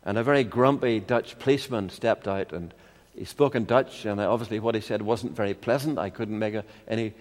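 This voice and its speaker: An older man with a Northern Irish accent preaching a sermon in a measured, expository way, with rhetorical questions and personal anecdotes. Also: on the low side. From Ireland. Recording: long hall reverb.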